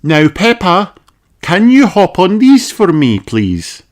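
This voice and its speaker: A man's voice: sits high, huge pitch swings, slow pace, voice pushed up, heavily acted. A man voicing a cheery, encouraging female polar bear doctor in a children's storybook. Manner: bright, encouraging, explaining gently to a child. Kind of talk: children's storybook read-aloud.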